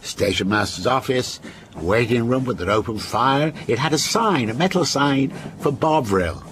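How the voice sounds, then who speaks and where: Radio drama: genial yet unsettling male storyteller played by an actor, animated intonation, teasing and deliberate, noisy train background. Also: medium pitch, lightly acted. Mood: storytelling. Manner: measured, coy, teasing. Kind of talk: radio drama acting, telling a ghost story.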